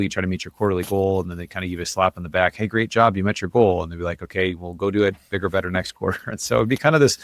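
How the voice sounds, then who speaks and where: A man's voice: fairly low pitch, no room sound, studio quality. A man speaking as an interview guest, telling his story in a casual, chatty, conversational way. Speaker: interview guest, investor and author.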